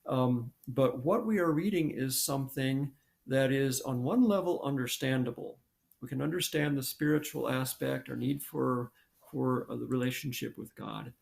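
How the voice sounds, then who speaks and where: A man in his sixties, a scientist guest, answering at length over a video call, halting with many fillers and restarts. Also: mid-range voice, vocal fry. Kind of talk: thoughtful interview answer. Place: home room with posters.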